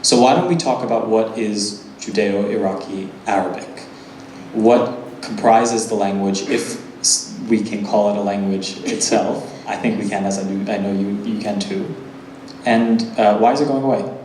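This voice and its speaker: A young man interviewing an author, speaking thoughtfully with some halting starts. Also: fairly low pitch, fairly even intonation, darkish tone, reverberant, a little hiss or hum, low-quality recording. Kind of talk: moderating a conversation.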